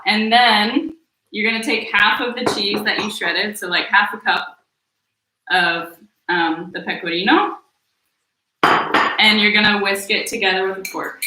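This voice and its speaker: A woman's voice: mid-range voice, a little slow, long pauses, far from the mic, background noise. Chatty woman in an echoey kitchen. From American Midwest. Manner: relaxed, chatty, many fillers. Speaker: host cooking on a livestream. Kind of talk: casual live cooking chat. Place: home kitchen. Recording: echoey room, voice a bit off-mic.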